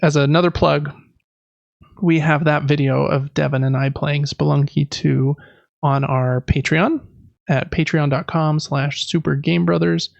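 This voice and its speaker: A man's voice: on the high side, little pitch movement, a little slow, vocal fry, no echo, muffled recording. A man co-hosting a gaming podcast, chatting casually in a rambling, enthusiastic, informal way. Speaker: podcast co-host.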